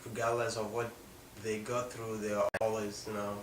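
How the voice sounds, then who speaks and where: A young man with a South Sudanese accent and a low voice tells his own story off the cuff. He speaks haltingly, often breaking off mid-sentence. The recording is roomy and echoing, picked up at some distance from the mic. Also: little pitch movement. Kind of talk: personal storytelling, unscripted. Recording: echoey room, distant mic, faint noise.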